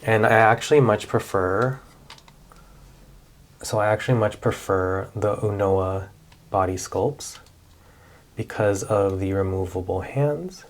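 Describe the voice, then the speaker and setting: A man, chatting casually in a relaxed vlog about his doll collection, with many fillers and self-corrections. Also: on the low side, unhurried, long pauses. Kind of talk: casual show-and-tell vlog.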